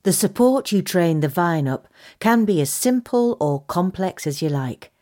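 Female narrator, low register. Measured, instructional read of scripted gardening features. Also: lively intonation, mellow. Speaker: reader of a gardening magazine article.

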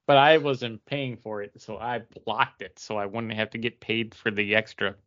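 A man co-hosting a podcast, talking in a halting, self-deprecating casual way. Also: on the low side, fairly even intonation, a bit bright, lo-fi sound. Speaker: podcast co-host. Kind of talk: casual chat.

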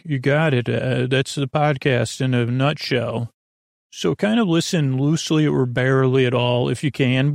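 A man with a creaky, soothing voice, hosting a bedtime podcast in a halting, meandering monologue full of tangents. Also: medium pitch, darkish tone, dry recording, studio quality. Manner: lulling, soothing. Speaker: podcast host.

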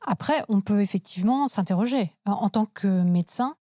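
Mellow-voiced woman hosting an interview. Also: mid-range voice, animated, moderate pace, no echo, studio quality. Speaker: host, interviewer. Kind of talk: conversational interview.

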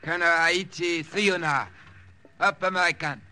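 An actor playing a gruff prison guard in an old radio drama, barking broken English. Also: male voice, a little high, normal speed, bright, forceful tone. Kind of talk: 1950s radio drama acting. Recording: noisy old radio recording.

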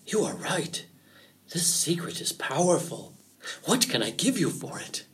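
Proud folktale rabbit chief, voiced by a man: headstrong, demanding, pitched a bit high with big swings. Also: big pitch swings, measured pace, fairly bright tone, rough recording. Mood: eager. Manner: defiant, insistent, boastful. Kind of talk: animal folktale storytelling.

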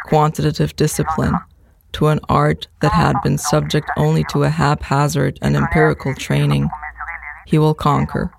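A man playing an introspective writer in an audio play, delivering a measured, poetic monologue. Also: a little high, creaky, background noise. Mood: reflective, admiring. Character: introspective male writer recalling circus wire walkers.

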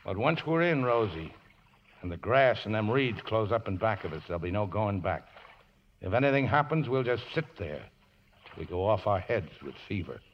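An actor playing a warm-hearted, plucky middle-aged riverboat man with folksy working-class speech, in 1950s radio drama style. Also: on the low side, big pitch swings, normal speed, a little hiss or hum. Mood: grave. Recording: dull old radio recording with music.

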